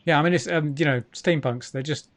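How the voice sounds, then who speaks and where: A man's voice: a little high, fairly quick. A man interviewing a guest, hesitant and full of fillers as he phrases questions. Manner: hesitant, many fillers and restarts. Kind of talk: casual video-call interview.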